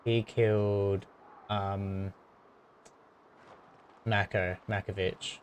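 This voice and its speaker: A man's voice: on the low side, level tone, very slow, a little hiss or hum. A man giving casual game commentary, thinking aloud hesitantly as he pieces a story together. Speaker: gaming video creator. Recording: game music behind voice. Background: game music.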